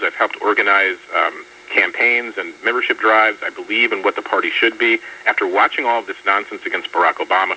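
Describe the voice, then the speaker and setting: A man speaking as a guest on a political talk radio show, laying out his arguments quickly and assuredly. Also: lowish voice, sharp tone, husky. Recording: distant radio broadcast.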